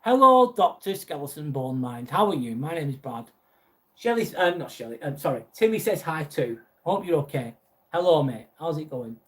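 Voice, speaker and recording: A young man from northwest England with a Lancashire accent, hosting a live video chat. His voice sits a little high for a man. He talks in a loose, friendly way, reading viewers' messages aloud and answering each one. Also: very animated, normal speed. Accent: Lancashire English. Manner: chatty, reads out viewer messages and answers them. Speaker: live stream host.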